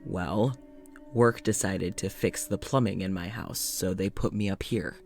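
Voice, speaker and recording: A man's voice: low-pitched, a little slow, creaky, darkish tone, soft background music. A man playing a polite, uneasy scientist in an audio drama, speaking in a reflective, hesitant, conversational way, as if into a journal. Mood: awkward, startled. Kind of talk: audio drama monologue and dialogue.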